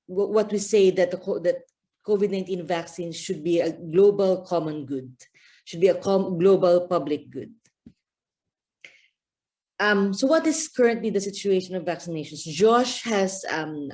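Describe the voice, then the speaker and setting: A woman's voice: on the low side, mellow. A woman from the Philippines giving an earnest talk at a press briefing over a video call, with frequent fillers. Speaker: guest speaker, campaign manager.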